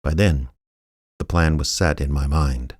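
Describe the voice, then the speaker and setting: Laconic private eye, low pitch, deadpan, understated, first-person radio drama narration, music underneath. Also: male voice, measured pace, slightly rough, no echo, studio quality, full character voice. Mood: wry, measured. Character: laconic small-town private investigator narrating his own case. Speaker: narrator and lead character.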